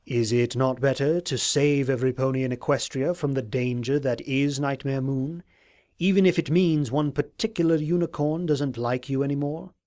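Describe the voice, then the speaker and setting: A man voicing a scheming, falsely sympathetic unicorn advisor in an audio drama, speaking in a formal, deliberate, courtly manner. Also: mid-range voice, darkish tone, lightly acted. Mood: grave, persuasive. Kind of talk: dramatized audio fiction reading.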